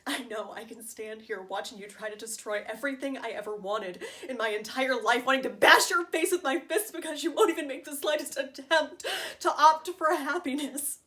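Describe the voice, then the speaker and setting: A woman's voice: mid-range voice, normal speed, long pauses, close to an everyday voice. A woman acting a dramatic stage monologue as a wounded, defiant wife pleading fiercely with her husband, emphatic and emotionally raw. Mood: angry, desperate.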